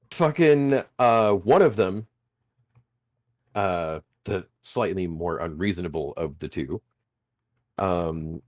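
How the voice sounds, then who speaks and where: A man game master chatting casually, animated and laughing often, swearing freely, with a booming voice. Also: no echo, clean studio sound. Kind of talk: casual podcast chat.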